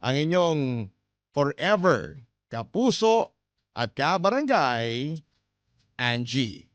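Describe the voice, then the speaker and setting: A man's voice: on the high side, very animated, slow pace, dry recording. A man with a Filipino accent reading a listener's letter as a radio host, in sentimental scripted storytelling. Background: loud plucked guitar music.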